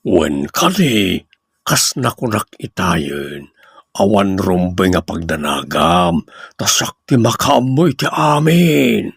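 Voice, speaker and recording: A man with a Filipino accent playing an evasive, placating cheating husband in a radio comedy drama, in a husky voice. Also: deep voice, big pitch swings, slow pace, a bit bright, no room sound, studio quality, heavily acted. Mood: reassuring.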